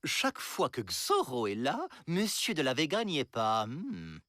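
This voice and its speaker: An actor playing a pompous, suspicious prison director in a cartoon. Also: male voice, mid-range voice, wildly swooping pitch, unhurried, no echo, clean studio sound. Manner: pompous, uses invented grand words. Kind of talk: cartoon voice acting. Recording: loud music behind the voice.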